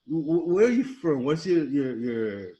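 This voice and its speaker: A man's voice: a little high, very animated, moderate pace, dark tone, dull audio. A man hosting a casual comedic interview, informal and joking in how he talks. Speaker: interviewer, host. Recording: echoey room.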